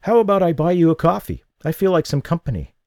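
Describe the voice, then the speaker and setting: A man's voice: fairly high pitch, sing-song, quick pace, a little mellow, no echo, studio quality, pitched above the performer's own voice. A man voicing a worried, guilt-ridden man who talks earnestly, in an audiobook. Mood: friendly. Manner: earnest, pleading.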